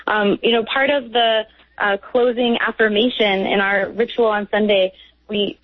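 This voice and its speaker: A woman's voice: mid-range voice, dry recording. A woman speaking as a guest on a radio show, earnest and heartfelt, with small hesitations. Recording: tinny phone line.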